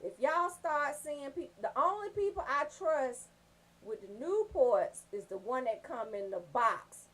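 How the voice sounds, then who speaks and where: An American woman hosting a comedic radio show, telling stories in an animated, folksy, profane way. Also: high-pitched, measured pace, poor audio. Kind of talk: comedic radio banter and storytelling.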